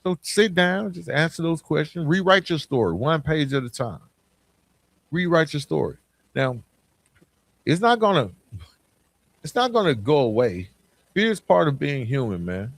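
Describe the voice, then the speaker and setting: A man's voice: on the high side, sing-song, moderate pace, creaky, long pauses, no room sound. American man giving a dry, close motivational talk. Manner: informal, direct address with rhetorical questions. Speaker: podcast host. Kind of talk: motivational podcast monologue.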